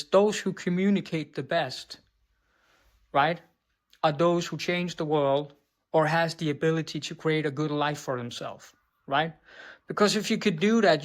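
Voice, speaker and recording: A man's voice: sits high, fairly bright tone. A man from Denmark with a Danish accent, a business coach talking straight to camera, blunt and profane, often tagging "right?" and stumbling over words without stopping. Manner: stumbles and restarts words, unbothered. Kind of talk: direct-to-camera monologue.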